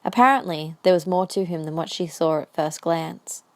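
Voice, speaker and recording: A woman's voice: fairly low pitch, fairly even intonation, normal speed, a little mellow. A woman reading scripted story narration for an audio drama as the narrator.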